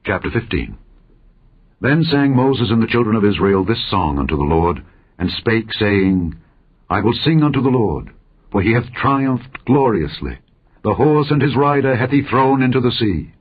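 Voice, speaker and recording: Low-voiced man reading scripture, slightly husky. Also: big pitch swings, narrow, tinny audio. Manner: measured, declamatory, in short phrases. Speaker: audiobook Bible narrator.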